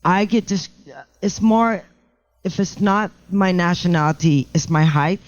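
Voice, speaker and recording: A middle-aged woman with a low voice, a house painter chatting as a podcast guest, joking about herself and often restarting her sentences. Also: unhurried, dry recording, muffled recording. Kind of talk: casual podcast interview.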